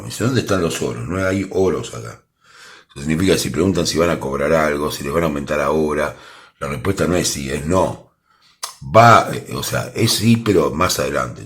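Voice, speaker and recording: A man with an Argentine accent giving a casual, chatty tarot reading and offering viewers direct, reassuring advice. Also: very deep voice. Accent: Argentine Spanish. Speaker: tarot reader.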